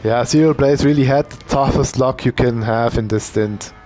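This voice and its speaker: A man doing casual live commentary on a sim racing event. He thinks out loud as he talks and uses fillers. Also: mid-range voice, moderate pace, soft background music. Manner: relaxed, thinking aloud, with fillers.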